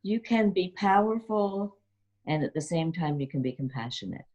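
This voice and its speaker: A woman's voice: fairly low pitch, expressive, moderate pace, darkish tone. A middle-aged woman, a yoga teacher and singer, giving earnest, encouraging advice in an interview and repeating her key phrases.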